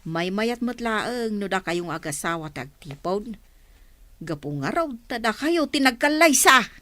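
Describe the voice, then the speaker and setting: An actress as a talkative, outspoken relative in a radio drama. Her mid-pitched woman's voice swings up and down in an animated, expressive way. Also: measured pace, dry recording. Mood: gossipy, frank. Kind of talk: Ilocano radio drama acting.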